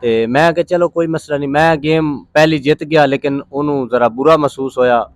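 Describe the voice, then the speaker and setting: A man's voice: on the high side, fairly even intonation, moderate pace, slight background noise. A man from Pakistan with a Punjabi accent, telling his story casually as an interview guest in a rambling, plain-spoken way.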